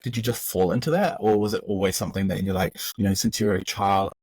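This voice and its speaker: A man hosting a podcast interview in a relaxed, encouraging manner. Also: on the low side, quick pace, husky, dry recording. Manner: relaxed, encouraging with brief acknowledgements. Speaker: podcast host.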